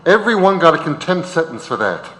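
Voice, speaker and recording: Bright-voiced man narrating in a hall. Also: fairly high pitch, sing-song, fairly quick. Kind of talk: scripted stage narration. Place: large auditorium. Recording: reverberant auditorium.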